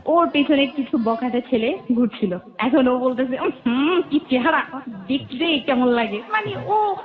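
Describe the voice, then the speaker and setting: High-voiced young Bangladeshi woman storytelling. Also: expressive, measured pace, soft background music. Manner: animatedly quotes friends' dialogue. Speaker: radio guest telling her life story. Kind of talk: casual personal storytelling. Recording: thin, phone-like band-limited sound.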